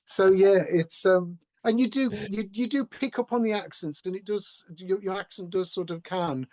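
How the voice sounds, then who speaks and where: Animated English co-host, dry close sound. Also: male voice, high-pitched, normal speed, no room sound. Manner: rambling, animated storyteller. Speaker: podcast co-host. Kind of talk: casual chat.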